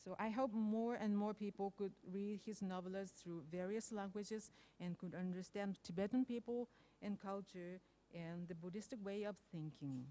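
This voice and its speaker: Mellow-voiced woman giving an academic paper. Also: mid-range voice, moderate pace. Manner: careful, hesitant, many fillers and restarts. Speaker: professor presenting a paper. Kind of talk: conference presentation.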